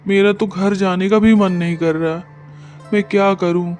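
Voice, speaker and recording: A poor village blacksmith, played by a man speaks in a high-pitched voice, full of sorrow. He laments like a weary husband and father in a moral cartoon story, with faint music behind him. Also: steady tone, measured pace, a little mellow. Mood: despairing. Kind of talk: dramatized Hindi story narration.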